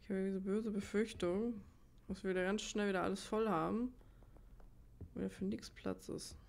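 Creaky-voiced woman musing over a game. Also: medium pitch, fairly even intonation, moderate pace, a little mellow. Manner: musing aloud, half to herself. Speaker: let's play host. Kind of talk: casual gameplay commentary.

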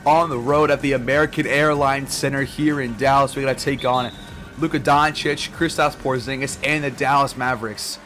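A slightly hoarse man giving casual gameplay commentary, relaxed and full of fillers, louder and livelier when calling plays. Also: mid-range voice, moderate pace. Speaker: gaming YouTuber. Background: game music.

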